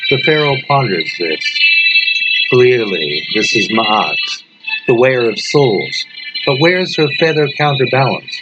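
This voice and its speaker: A man reading a horror story as scripted narration, deliberately, with dramatic pauses. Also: mid-range voice. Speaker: story reader. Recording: dull lo-fi recording with loud background noise.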